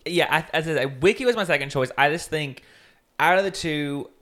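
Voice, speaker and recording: A man's voice: a little high, normal speed. A man co-hosting a casual podcast, chatting and teasing his co-host while reviewing a TV comedy. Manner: chatty, teasing, lively intonation. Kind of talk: casual chat reviewing a TV show.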